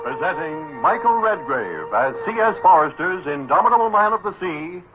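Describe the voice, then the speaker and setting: A male announcer with a high, very animated voice delivers a grand, sweeping introduction. Loud music plays under him, and the sound is thin and tinny like an old radio broadcast. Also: normal speed. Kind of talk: formal radio show introduction.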